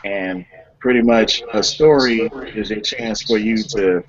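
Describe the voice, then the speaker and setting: A man's voice: fairly low pitch, unhurried, crowd noise. A man speaking as a guest in a casual panel chat, with a blunt, informal way of talking. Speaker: guest writer and poet. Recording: dull, muffled lo-fi audio.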